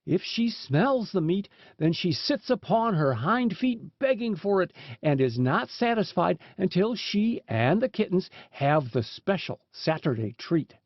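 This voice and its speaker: A man's voice: high voice, no room sound. A man reading a storybook aloud as an audiobook narrator, in a scripted narration style. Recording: dull, lo-fi sound.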